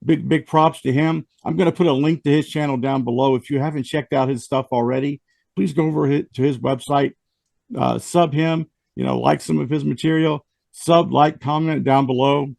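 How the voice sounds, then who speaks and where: Male host, gravelly and losing his voice after the flu, dry close sound. Rambling and casual, restarts his sentences. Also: on the high side, fairly even intonation, moderate pace, darkish tone, no room sound. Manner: rambling, with frequent restarts and fillers. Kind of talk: casual music review chat.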